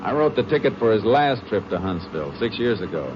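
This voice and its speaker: An actor playing a determined Texas Ranger who narrates in a terse, clipped first-person style, in a 1950s radio drama. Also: male voice, on the low side, big pitch swings, fairly quick, noisy background. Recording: dull old radio broadcast with music.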